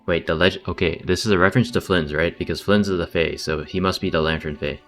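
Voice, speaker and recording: A low-pitched man streams a game with casual, chatty commentary. His talk is slangy and sweary, with some music behind him. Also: steady tone, fast talker.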